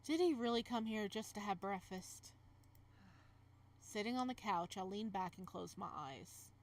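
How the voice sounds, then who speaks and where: A woman's voice: mid-range voice, lively intonation, unhurried. A woman reading as the weary, practical male protagonist of a visual novel, frank and reasoning in manner. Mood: weary, puzzled.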